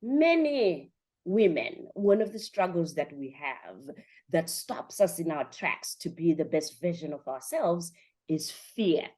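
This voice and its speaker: A woman with a slightly low voice hosts a motivational show. She talks emphatically and encouragingly, often stacking phrases in lists to drive her point home. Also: very animated, slow, darkish tone. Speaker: podcast host and coach. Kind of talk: motivational interview hosting.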